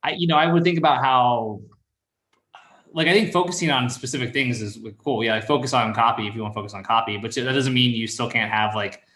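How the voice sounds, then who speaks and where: A man's voice: mid-range voice, brisk, a bit bright, roomy sound. A man in his thirties giving casual advice in a chat, rambling and thinking aloud as he goes. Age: 30s. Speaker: call-in show host and copywriting mentor.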